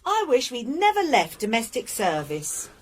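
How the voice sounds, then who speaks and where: An actress playing a sharp-tongued, worried wife who runs a failing lodging house in a radio drama, nagging her husband. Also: fairly high pitch, huge pitch swings, slight background noise. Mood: scolding. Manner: nagging, scolding, brisk. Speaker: wife of Robert Bunting.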